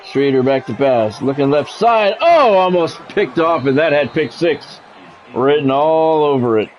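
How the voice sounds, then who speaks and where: A man doing measured, broadcast-style football play-by-play over a video game, in clipped phrases with casual gamer asides. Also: fairly high pitch, expressive, slight background noise.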